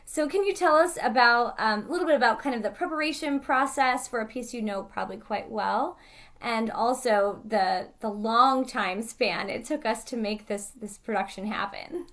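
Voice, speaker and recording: A woman's voice: a little high, moderate pace, a bit bright. A woman hosting an interview with a guest soloist, fluent with occasional fillers. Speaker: interview host.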